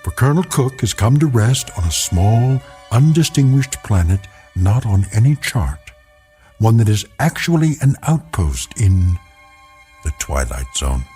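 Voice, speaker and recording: An actor as a solemn, ominous narrator giving measured, portentous scripted narration for an eerie sci-fi radio drama. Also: male voice, fairly low pitch, sing-song, warm tone, soft background music.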